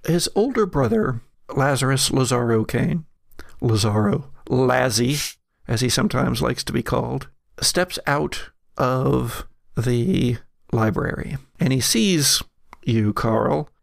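A man narrating as game master of a gothic horror tabletop game, deliberate and storyteller-like, with pauses. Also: medium pitch, animated, vocal fry, no room sound, clean studio sound.

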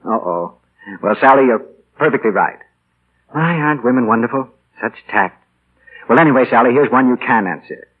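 A man's voice: medium pitch, expressive, normal speed, pauses often, breathy edge. A man working as a 1940s radio announcer, delivering chatty, polished scripted sales patter. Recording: scratchy, tinny 1940 radio broadcast.

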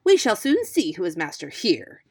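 A cunning male fox from a storybook, rough and snarling, as a woman narrator puts him on. His voice has a gravelly edge and gets loud when he threatens. He sounds sly and menacing. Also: on the low side, wildly swooping pitch, normal speed, heavily acted.

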